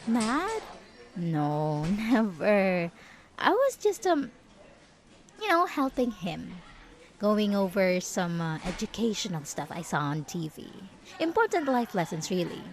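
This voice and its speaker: A possessive schoolgirl bully acted by a woman in a roleplay audio drama. She speaks slowly and deliberately, with a lively, swooping melody at a middling female pitch. Her manner is sarcastic and threatening under a layer of sugary politeness. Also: slight background noise. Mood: falsely sweet, flustered. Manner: taunting, sarcastic, switching to sugary false politeness.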